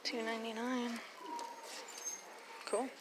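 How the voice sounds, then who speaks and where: Chatty woman vlogging over clear noise. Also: a little high, flat delivery, takes it slowly. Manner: informal, chatty, mild slang like freakin' and stinking. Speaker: thrift reseller vlogger. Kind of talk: casual chatty vlog.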